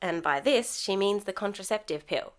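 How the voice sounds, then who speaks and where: A woman's voice: medium pitch, lively intonation, normal speed. A young adult woman hosting a solo podcast, telling stories in a wry, sarcastic, comedic way. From Sri Lankan heritage. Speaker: podcast host.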